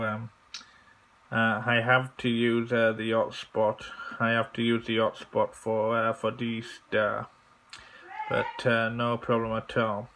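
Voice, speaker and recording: A man talks as an amateur radio operator during a contact. His delivery is very measured and halting, with phrases repeated. He sounds courteous, at an average male pitch. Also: a bit bright. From England.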